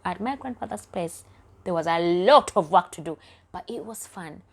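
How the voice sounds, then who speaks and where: A woman's voice: fairly low pitch, animated, moderate pace. A young woman from Uganda telling her own life story candidly in a personal vlog, often dropping to a near whisper. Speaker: vlogger.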